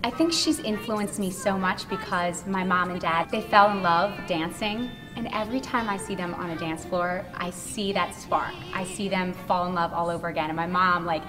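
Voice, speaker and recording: A woman in her thirties, a stage actor, talking brightly about her parents in a sit-down interview. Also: on the low side, fairly even intonation, normal speed, hardly any pauses, long reverb tail, music in the background. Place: indoor theater lobby, posters behind.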